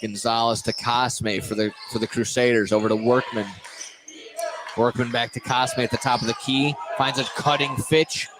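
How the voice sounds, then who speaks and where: Man calling high school basketball play-by-play, higher-pitched voice. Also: little pitch movement, moderate pace, background noise. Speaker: play-by-play commentator. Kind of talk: live high school basketball radio commentary. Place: indoor gymnasium commentary position.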